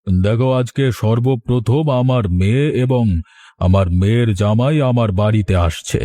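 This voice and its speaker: Casting: man playing proud middle-aged landlord for a cartoon, soft dark timbre, measured authority, dry sound. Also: mid-range voice, dry recording, lightly acted. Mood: softened, measured. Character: proud village landlord, concerned father softening toward daughter. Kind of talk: cartoon voice acting.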